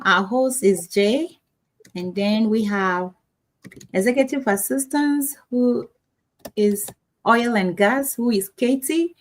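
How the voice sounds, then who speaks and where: Bright-pitched woman from Ghana, upbeat. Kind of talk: casual introduction.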